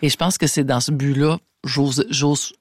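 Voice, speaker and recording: A man's voice: a little high, fairly even intonation, unhurried, dry recording, studio quality. A man with a Québécois accent, an interview guest, speaking earnestly and repeating words as he searches for them. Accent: Québécois French. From Quebec. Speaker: guest, preface writer. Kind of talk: conversational interview.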